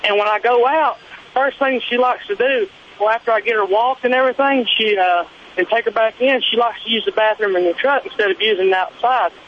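High-voiced, hesitant male caller. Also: brisk. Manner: hesitant, polite. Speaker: caller, truck driver. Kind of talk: casual, halting question to a radio show. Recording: dull, hissy call with faint noise.

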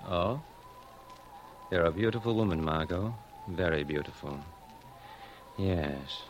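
A sickly, bitter husband with a low voice. He is measured, sardonic and accusing, in 1940s radio drama style, over faint recording noise. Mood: suspicious. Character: ailing, suspicious, bitterly knowing husband.

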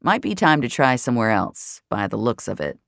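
Jaded sarcastic sci-fi heroine, really deep female voice, wry and sweary, super dry sound. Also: sing-song, brisk, creaky, dry recording, exaggerated voice. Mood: teasing, wry. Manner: wry, profane, conversational. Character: Jamie, sardonic jaded VR regular, narrator of sci-fi mystery. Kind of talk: first-person audio drama narration.

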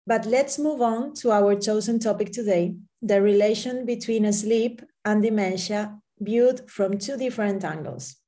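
A woman, a neurologist co-hosting a podcast, reading her part in a measured, formal way. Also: medium pitch, dark tone. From Spain. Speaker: neurologist, co-host. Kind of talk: scripted podcast narration.

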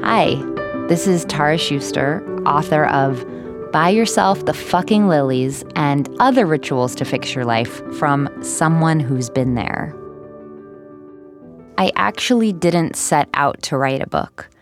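A woman's voice: low voice, expressive, slow pace, music in the background. A woman, an author, introducing herself and talking frankly and directly about why she wrote her book.

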